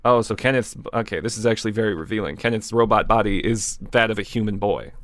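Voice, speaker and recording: A man's voice: lowish voice, fast, no room sound. A man co-hosting, reading fan fiction aloud and breaking off to comment casually.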